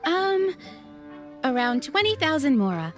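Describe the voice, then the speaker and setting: A woman's voice: high-pitched, big pitch swings, a little slow, faint music behind. An actress playing a polite, helpful female restaurant server in a video game, with a courteous service manner.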